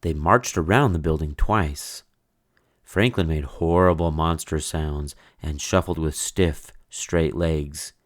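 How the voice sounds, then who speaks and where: A man reading a children's picture book aloud with slow, expressive storybook delivery. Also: very deep voice. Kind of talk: read-aloud storybook narration.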